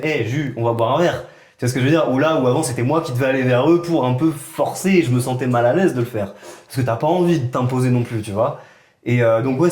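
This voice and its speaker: Fast-talking man in casual chat. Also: mid-range voice, roomy sound. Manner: fast, relaxed storytelling with many fillers. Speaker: podcast co-host.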